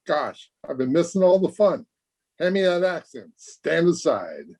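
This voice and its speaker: A man plays a teenage schoolboy in a radio comedy. He is eager and excitable, with a raised pitch and very animated intonation. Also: a little slow, exaggerated voice. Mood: excited. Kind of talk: old-time radio comedy acting.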